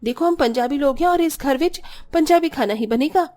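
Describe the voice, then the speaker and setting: A woman's voice: sits high, lively intonation, a little fast, warm tone, voice pushed up. A woman playing a peevish, dramatic Punjabi elder sister-in-law who whines and complains indignantly in a cartoon story.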